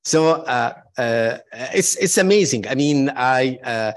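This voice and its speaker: Halting man introducing academic speakers. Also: medium pitch, expressive. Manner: halting, many fillers and restarts; warmly praising, personal asides. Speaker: panel moderator introducing speakers.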